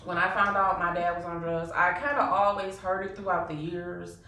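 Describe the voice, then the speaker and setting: Lowish-voiced woman hesitantly recalling. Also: flat delivery, moderate pace, bright, forceful tone, slight background noise. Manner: hesitant, many fillers. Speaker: interviewee. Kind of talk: personal testimony. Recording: echoey room, mic at a distance.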